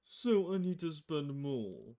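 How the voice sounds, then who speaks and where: A woman plays a male private eye from a noir visual novel. The voice is dark and mellow, with a grumbling, impatient manner as he fishes for information. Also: low-pitched, sing-song, slow pace, heavily acted. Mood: matter-of-fact. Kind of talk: visual novel character voice, film noir dialogue.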